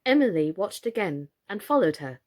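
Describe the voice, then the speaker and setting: A woman reading a children's storybook aloud in an expressive, scripted style. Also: medium pitch, moderate pace. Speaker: story reader, actress.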